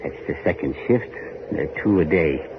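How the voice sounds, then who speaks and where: An actor playing a grim, bitter older factory overseer in a 1940s radio horror play, with menacing, taunting, rustic speech. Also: male voice, very deep voice, lively intonation, normal speed. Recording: noisy, dull 1940s radio recording.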